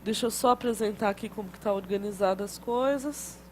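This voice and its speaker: A woman's voice: mid-range voice, a little fast, darkish tone, slight background noise. A woman giving a classroom lecture in a conversational way, often adding tag questions and restarting phrases.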